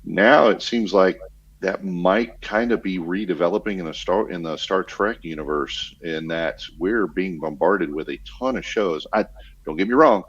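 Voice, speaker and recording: Deep-voiced man, muffled audio. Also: moderate pace, slight background noise. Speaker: podcast panellist. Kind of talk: casual chat on a geek podcast.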